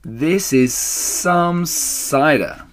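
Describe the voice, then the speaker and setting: A man's voice: a little high, huge pitch swings, measured pace, darkish tone, breathy edge, pitched above the performer's own voice, heavily acted. A man voicing a small, young male fox in a storybook, eager, awestruck and given to gasping exclamations. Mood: gasping delight.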